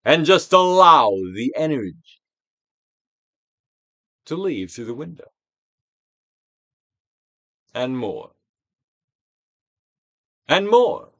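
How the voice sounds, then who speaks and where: A man's voice: a little high, sing-song, drawn-out words, long pauses, sharp tone, dry recording. A man giving a theatrical, declamatory dramatic reading of a self-help book, like a stage Shakespearean, drawing out phrases for emphasis. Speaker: audiobook reader, actor.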